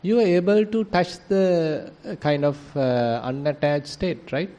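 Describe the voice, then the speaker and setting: A man with a Sri Lankan accent, a monk teaching in a gentle, hesitant way with soft laughs. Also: on the high side, a little slow. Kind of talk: dharma discussion. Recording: light background noise.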